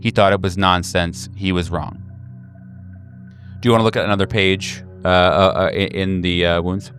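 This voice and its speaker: A man narrating a tabletop horror game as game master, improvising as he goes and often halting to search for words. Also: deep voice, little pitch movement, fairly bright tone, faint music behind.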